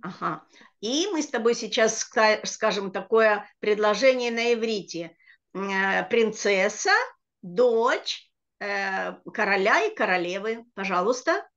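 A woman's voice: mid-range voice, a little slow, a bit bright. A middle-aged woman teaching a children's reading lesson over a video call, explaining word by word with patience. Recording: dull lo-fi video call audio.

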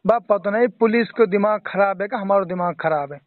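Mewati guy on a muffled phone call, high voice, fed up and emphatic, talking casual. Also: brisk, no echo. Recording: band-limited phone call recording.

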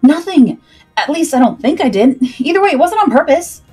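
A woman's voice: high-pitched, big pitch swings, brisk, a little mellow, voice pushed up. A woman voicing a wary, loyal sixteen-year-old cabin boy in a pirate story, plain-spoken and guarded. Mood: nervous. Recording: music behind the voice.

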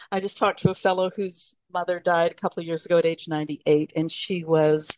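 Older woman, podcast host, anecdotal, measured, lowish pitch. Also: fairly even intonation, darkish tone, a little hiss or hum, rough recording. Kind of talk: casual conversation.

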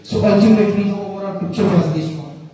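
A young man with a Pakistani English accent gives a conference talk, slightly high and mellow in tone. He stops often on fillers as he counts items off. The sound is dull and distant, with a long echo from a large auditorium. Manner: halting, many fillers and counted lists. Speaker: keynote speaker. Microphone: podium mic, recorded from the audience. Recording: roomy hall, distant dull phone recording.

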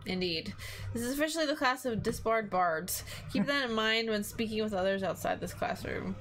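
A woman voicing a formal, stern male schoolmaster with a put-on German accent, speaking in a measured, courteous but firm way over music. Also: on the high side, lively intonation, a bit bright, a little hiss or hum, rough recording. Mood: stern, instructive.

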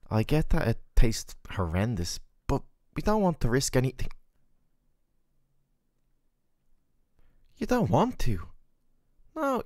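A man's voice: medium pitch, a little mellow, dry recording, studio quality. A man playing a worried, tender boyfriend in an audio roleplay, speaking in a soothing, earnest, affectionate way. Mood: coaxing, firm. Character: worried, tender, doting boyfriend caring for his sick partner. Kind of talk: scripted boyfriend roleplay ASMR.

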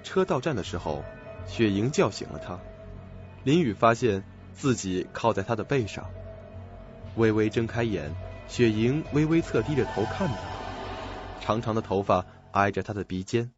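Low-pitched male drama narrator. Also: lively intonation, normal speed. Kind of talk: scripted audio drama narration. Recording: dull lo-fi recording, faint music behind.

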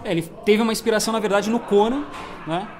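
High-voiced Brazilian man, chatty and explanatory. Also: fast. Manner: explanatory, adds lots of tag questions. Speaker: toy collector guest. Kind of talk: casual panel chat. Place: indoor room, seated at a table of toys.

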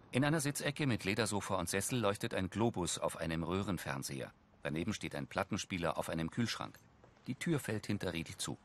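A man narrates what happens on screen as scripted audio description. His voice is low with lively movement in pitch, and music plays behind him.

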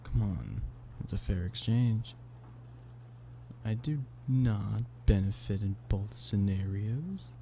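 A man acts out an affectionate boyfriend in an ASMR roleplay, with a lowish voice full of gravelly fry. He coaxes and teases playfully at a slow, leisurely pace. The talk is intimate and pleading, as if to one stubborn partner. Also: full character voice. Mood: teasing, coaxing. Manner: teasing, pleading, intimate one-sided conversation. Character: playful, affectionate human boyfriend coaxing a stubborn cat-person. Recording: steady background noise of rain. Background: rain.

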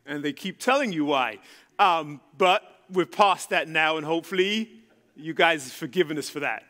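A man's voice: on the high side, moderate pace, bright, forceful tone. London man preaching in a reverberant church. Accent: London English. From London, England. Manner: conversational, self-deprecating, with ums and repetition. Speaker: guest preacher. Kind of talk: sermon. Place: church stage with audience. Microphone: handheld microphone. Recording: long reverb in a church hall.

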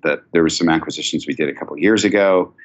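Man in his forties, very low voice, thoughtful halting delivery, muffled video call sound. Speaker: company chief executive, guest. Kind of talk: conversational business interview. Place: indoor office, video call. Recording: dull video call audio.

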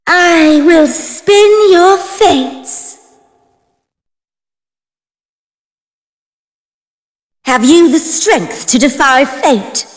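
An actress playing a playful, mysterious goddess of fate in a fantasy video game, with lilting, theatrical pronouncements. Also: female voice, high-pitched, very slow. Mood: confident. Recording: long reverberant hall effect.

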